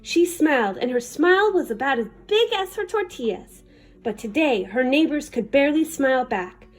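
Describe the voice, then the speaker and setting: A woman reading a children's picture book aloud in an expressive, dramatic manner. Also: high voice, normal speed, soft background music. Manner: animated, dramatic. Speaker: children's story reader.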